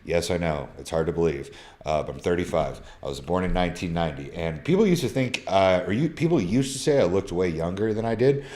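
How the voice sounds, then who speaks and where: A man's voice: deep voice, animated, fairly quick, a little mellow. A man in his thirties who swears freely and talks in loose slang, reading fan messages aloud on a casual live stream from his phone. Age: 30s. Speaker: social media creator.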